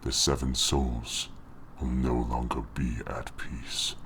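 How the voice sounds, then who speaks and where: A man voicing a menacing, dark spectral scientist from a video game in an ominous drawn-out delivery, with the voice digitally shifted far down. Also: flat delivery, a little hiss or hum, full character voice. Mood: slow menace. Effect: digitally pitched far down. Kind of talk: video game villain monologue.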